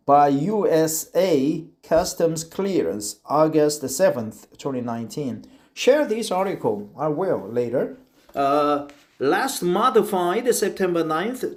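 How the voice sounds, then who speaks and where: A man in his fifties from South Korea with a Korean accent, reading an article aloud to practice his English. He pauses, corrects himself and repeats phrases. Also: on the high side, a little mellow. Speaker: office worker practicing English.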